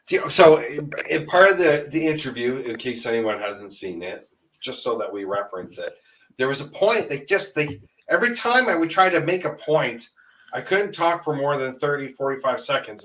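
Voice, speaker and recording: An animated man with a bright, lively voice tells a story, laughing as he goes. He sits a little back from his mic on a video call, so he sounds slightly distant. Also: medium pitch, normal speed. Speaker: guest. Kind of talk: casual podcast chat.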